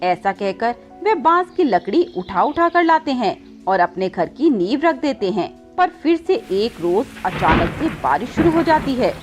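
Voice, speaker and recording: Female narrator for children's story: high-pitched, scripted storytelling, music behind. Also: very animated, unhurried, few pauses.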